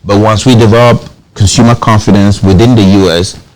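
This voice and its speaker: Guy, pretty deep voice, talks a lot in an interview, repeats words starting sentences. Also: steady tone, fairly quick, darkish tone, rough recording. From Cameroon. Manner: explains at length, repeats words when starting phrases. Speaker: company founder, interview guest. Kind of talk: TV interview, conversational.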